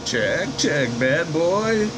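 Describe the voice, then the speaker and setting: A man's voice: on the high side, very animated, very slow. A man operating a CB radio, chatting in repetitive call signs, slangy catchphrases and sign-offs. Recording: very noisy, engine and air-conditioning hum.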